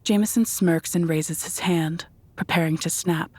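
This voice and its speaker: A woman's voice: fairly low pitch, steady tone, normal speed, darkish tone, breathy edge, dry recording. A woman reading scripted, dramatic narration for a horror audio drama.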